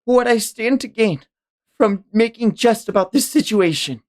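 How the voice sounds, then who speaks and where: Streamer putting on a shrill, zealous prophecy-crying accuser. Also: expressive, unhurried, close to an everyday voice. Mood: cold disgust. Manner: declamatory, disdainful.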